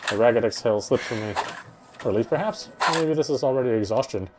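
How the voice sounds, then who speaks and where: A man reading a horror visual novel aloud in an expressive, first-person storytelling manner. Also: mid-range voice, quick pace, background noise. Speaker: let's-player reading a game aloud. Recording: game music behind the voice. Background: game music.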